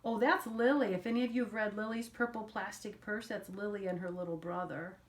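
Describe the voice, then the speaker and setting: An older woman reading a children's picture book aloud as a storyteller, pausing to explain things to young listeners. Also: mid-range voice, fairly even intonation.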